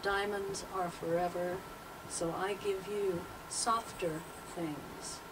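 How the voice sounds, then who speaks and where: An older woman speaks slowly and deliberately, like a poet used to reading aloud. Her pitch is medium, and she sounds some way from the microphone, with clear noise behind her. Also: fairly even intonation. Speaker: poet and teacher. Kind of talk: interview talk and poetry reading aloud. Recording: distant mic, clearly noisy background.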